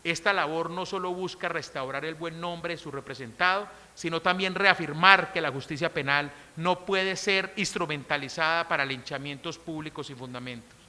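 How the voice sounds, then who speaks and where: Sharp-toned Colombian woman lecturing in a hall. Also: deep voice, normal speed, hardly any pauses. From Colombia, coffee region. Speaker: conference speaker on criminal law. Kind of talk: formal academic lecture, partly read from a text. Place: large conference hall. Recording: reverberant conference hall.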